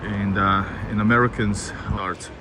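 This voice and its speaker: A man who is a native Russian speaker, hosting a casual outdoor livestream and chatting haltingly with viewers. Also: fairly low pitch, level tone. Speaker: livestream host and street guide. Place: outdoors on a busy city street. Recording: noisy outdoor street with traffic. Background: street ambience and passing cars.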